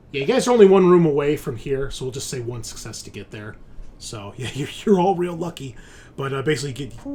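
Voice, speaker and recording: A man's voice: mid-range voice, darkish tone, slight background noise. Informal game master, man thinking aloud. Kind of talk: explaining tabletop game rules and scenes.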